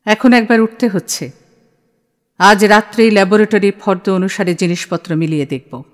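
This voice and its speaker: A woman's voice: medium pitch, normal speed, close to an everyday voice. A woman playing a stern, shrewd middle-aged mother in an audio play, cutting and authoritative. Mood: cold, accusing. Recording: long hall-like reverb.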